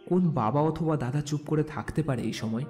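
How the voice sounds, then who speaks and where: A man's voice: fairly high pitch, fairly quick, mellow, faint music behind. A man narrating a scripted romantic story as a storyteller.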